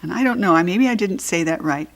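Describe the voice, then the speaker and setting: A woman with an American accent narrating a children's storybook expressively, with animated, dramatic emphasis. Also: fairly low pitch, fast. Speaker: storybook reader.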